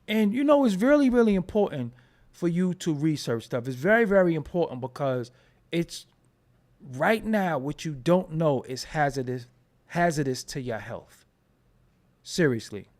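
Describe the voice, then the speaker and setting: A man's voice: a little high, lively intonation, moderate pace, darkish tone. A man with a New York accent giving loose, profane commentary and often asking "you know what I'm saying". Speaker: commentary vlogger. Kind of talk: casual commentary.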